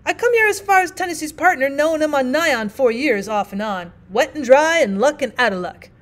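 A woman doing a rough, earnest frontier miner with a rustic drawl. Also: high voice, animated, fairly quick, voice pushed up. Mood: earnest pleading. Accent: put-on rustic Old West drawl. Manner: plainspoken, rambling, folksy dialect.

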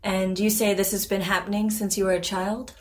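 A woman acting as a female therapist in a naturalistic audio drama. She is composed and reassuring, choosing formal words and probing gently. Her voice is mid-pitched. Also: flat delivery, natural voice. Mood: calm, probing. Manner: measured, formal, gently questioning.

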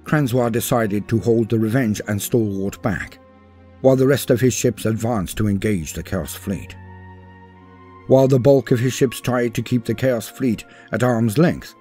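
A man reading a scripted war story as a dramatic narrator, in a grave and measured manner. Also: on the low side, a little mellow, breathy edge. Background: music.

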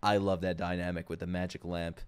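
A man doing casual live-stream reaction commentary to game trailers, excitable, slangy and profane. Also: low voice, a little fast. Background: game trailer music.

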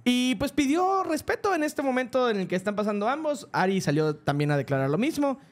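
A man's voice: very high-pitched, sing-song, brisk. A young man with a Mexican accent, hosting a podcast, slangy and teasing, with mock-formal news delivery. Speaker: podcast host. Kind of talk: casual comedic chat. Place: podcast studio.

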